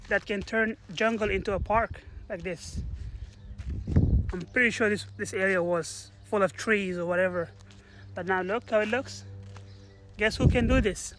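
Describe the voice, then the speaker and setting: A man's voice: high-pitched, background noise. A man giving a casual motivational talk outdoors in a park, rambling and often restarting his sentences. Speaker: motivational speaker.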